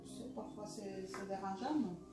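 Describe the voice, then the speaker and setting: A woman's voice: medium pitch, steady tone, normal speed, far from the mic, music in the background. Interviewer, woman from Latin America, mid voice, rambling with fillers, roomy sound. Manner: repeats and sums up, many fillers. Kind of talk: casual interview chat. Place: small decorated studio room, indoors. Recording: echoey room.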